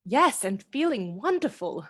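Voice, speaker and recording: A woman acting in a radio play as a fragile, affectionate young gentlewoman, speaking gently and expressively. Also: a little high, sing-song, measured pace, husky, heavily acted. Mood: grateful, tender.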